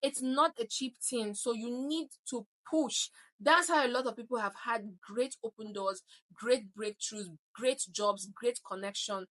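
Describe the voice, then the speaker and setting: A woman's voice: on the high side, a little slow, a bit bright, low-quality recording. A woman in her thirties from Nigeria with a Nigerian accent, giving emphatic advice in a vlog and often checking in with "okay?". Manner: emphatic, repeats "okay?" to drive points home. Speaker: vlogger.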